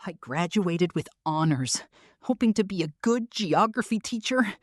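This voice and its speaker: A soft-spoken, weary woman narrating a first-person horror story. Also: on the low side, lively intonation, measured pace.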